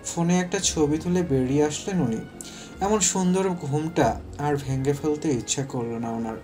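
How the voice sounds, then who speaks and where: A man's voice: fairly high pitch, moderate pace, darkish tone, faint music behind. A man narrating a scripted romantic story as its storyteller.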